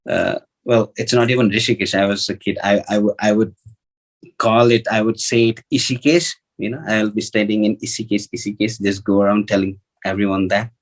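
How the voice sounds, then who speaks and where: A man in his thirties with a Nepali accent, a native Nepali speaker, telling a personal story informally, haltingly and with many fillers and repeats, as a devotee giving testimony. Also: lowish voice, steady tone. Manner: halting, repetitive, thinks aloud with many fillers.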